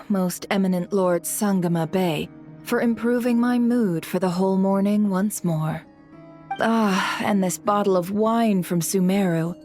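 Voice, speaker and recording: A woman's voice: medium pitch, darkish tone, natural voice. A woman voicing a refined, mocking noblewoman in a video game, poised, elegant and condescending.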